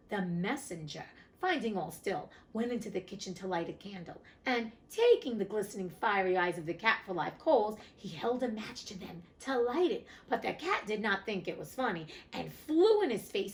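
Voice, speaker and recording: A woman reads an old fairy tale aloud with a lively, swinging pitch. She keeps stopping for chatty, irreverent asides, tossing in casual swearing along the way. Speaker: host reading classic stories. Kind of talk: storybook reading with casual asides.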